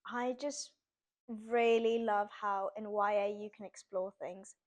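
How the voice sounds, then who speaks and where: A woman's voice: mid-range voice, steady tone, measured pace. A young woman from Sweden, chatting casually as an author guest in an interview and using lots of "like" fillers.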